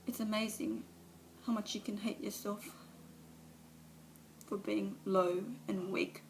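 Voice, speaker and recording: A woman performs a remorseful recovering alcoholic mother, confessing in a low, gravelly voice that keeps close to one note and sometimes sinks into a whisper. It is recorded on a phone camera. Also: level tone, moderate pace, a little hiss or hum, natural voice, low-quality recording. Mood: anguished. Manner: confessional, dropping to whispers at times. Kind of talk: dramatic monologue performance.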